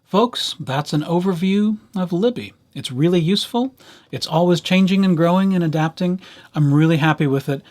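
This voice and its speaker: A man's voice: high voice, moderate pace, darkish tone. A man presenting an informal screencast tutorial for library users, talking through each step in a playful, conversational way with little asides.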